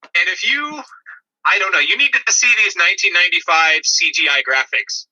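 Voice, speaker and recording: A man's voice: high voice, sing-song, normal speed, bright, forceful tone, slightly rough, poor audio. A man co-hosting a movie review podcast, chatting in a dry, disparaging way. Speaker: podcast co-host.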